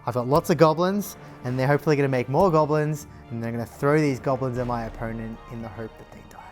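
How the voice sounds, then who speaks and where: A man's voice: medium pitch, fast. Man explaining game strategy over light music. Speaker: game player on a gaming show. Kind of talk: casual explanation of strategy to camera.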